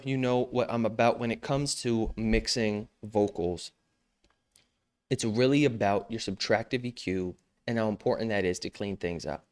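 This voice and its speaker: A young man with a smooth, clear voice talks through a vocal mixing tutorial. He is relaxed and slangy, and he jokes about himself between the steps. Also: mid-range voice. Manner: relaxed, slangy, self-joking. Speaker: music production tutor. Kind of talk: casual screen-recorded mixing tutorial.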